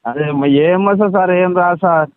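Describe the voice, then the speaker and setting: A man's voice: fast, smooth, dry recording. A man with a Telangana accent talking on the phone in a deferential, defensive, pleading way. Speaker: prank call target. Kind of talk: phone conversation. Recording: tinny low-fi phone line.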